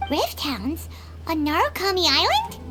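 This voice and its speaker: A woman playing a tiny, excitable fairy companion girl in a video game, with a squeaky, childlike, chirpy voice. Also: a little slow, heavily acted. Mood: curious. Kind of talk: video game character acting. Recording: music behind the voice.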